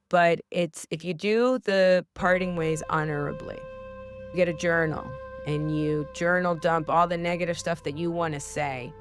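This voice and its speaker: A woman hosting a spiritual podcast, talking in an earnest, heartfelt coaching tone. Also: lowish voice. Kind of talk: casual spiritual advice talk.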